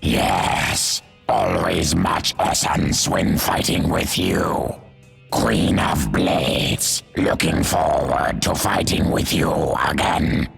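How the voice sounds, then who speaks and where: Game creature role: primal reptilian pack leader, guttural, predatory, terse broken phrases, dragging very slow delivery, music underneath. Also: male voice, rumbling low, fairly even intonation, a bit bright, exaggerated voice. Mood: hungry anticipation. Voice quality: guttural, gravelly. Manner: halting, terse broken speech. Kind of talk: video game character acting.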